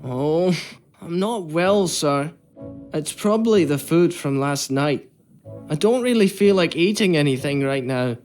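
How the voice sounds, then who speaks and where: A young ship's boy in a period adventure game, earnest and troubled. The voice sits a little high and moves slowly, over faint music. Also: expressive, a little mellow. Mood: feigning sickness. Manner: pleading, hesitant, earnest. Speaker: actor in a narrative adventure game. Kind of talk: period drama game acting.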